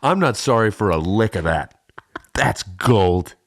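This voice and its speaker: A lively man co-hosting a comedy podcast, with pitch that swings around a lot. He tells jokey stories casually and leans on emphatic repetition. The sound is close and dry. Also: measured pace, no echo. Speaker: podcast co-host.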